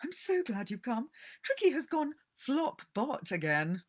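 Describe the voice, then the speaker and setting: A woman voicing a fussy wealthy older lady who dotes on her little dog, shrill and anxious. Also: big pitch swings, unhurried, full character voice.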